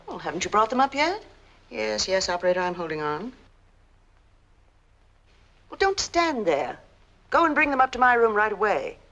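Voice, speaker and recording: A woman's voice: medium pitch, very animated, moderate pace, long pauses, a bit bright, lo-fi sound. An actress with an American accent playing a bossy, scheming middle-aged mother in a 1940s film noir. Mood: curt, commanding. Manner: commanding, curt. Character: cold, scheming, bossy mother running a family swindle. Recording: noisy old film soundtrack.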